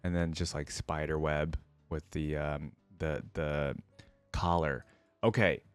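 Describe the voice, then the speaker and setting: A man's voice: a bass voice, little pitch movement, slow, clear, resonant tone, no echo, faint music behind. A man hosting a reaction video, commenting casually and thinking aloud in an informal way as he goes.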